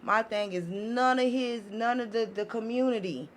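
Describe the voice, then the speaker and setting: A woman's voice: fairly high pitch, normal speed. Emphatic, blunt woman over background noise. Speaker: podcast guest. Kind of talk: impassioned casual opinion talk.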